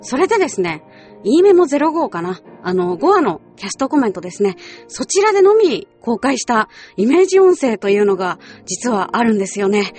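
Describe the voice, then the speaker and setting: High-voiced woman hosting a radio show. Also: sing-song, fairly quick, a little mellow, husky. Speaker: radio show host and voice actress. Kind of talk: scripted radio show talk with casual asides. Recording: music behind the voice. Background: background music.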